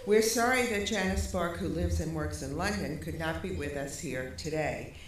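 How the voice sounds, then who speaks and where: A woman's voice: low-pitched, normal speed. A woman formally presenting an award at a ceremony as a university president. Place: large ceremony hall. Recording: very echoey hall, distant mic, faint noise.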